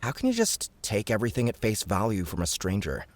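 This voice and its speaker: A man's voice: on the low side, huge pitch swings, a little fast, no room sound. A man voicing a casual, wary young male sidekick in an audiobook, blunt and questioning. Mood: surprised, doubtful. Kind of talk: audiobook character acting.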